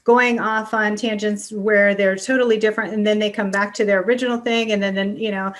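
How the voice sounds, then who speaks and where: A woman's voice: mid-range voice, steady tone, a little fast. Casual, conversational woman podcast host. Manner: informal, often checks in with "right?" and "you know". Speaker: podcast host and business coach.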